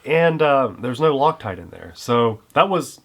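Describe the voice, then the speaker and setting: A man with a head cold, sounding congested, co-hosting a casual chat about his hobby in a relaxed, encouraging way. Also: mid-range voice, a little slow, gravelly. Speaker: co-host of an RC hobby channel.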